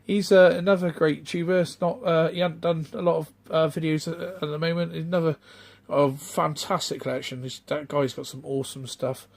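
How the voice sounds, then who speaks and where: A middle-aged man, chatting off the cuff in a rambling, halting way full of ums and restarts. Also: fairly high pitch, little pitch movement. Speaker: retro game collector vlogger. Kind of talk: casual off-the-cuff vlog chat.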